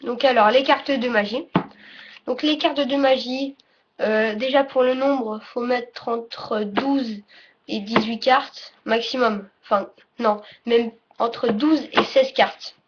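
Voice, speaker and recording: High-voiced woman in a halting casual tutorial. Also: fairly even intonation. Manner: halting, self-correcting, trailing off. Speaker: presenter of a card game tutorial. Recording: dull lo-fi audio, faint noise.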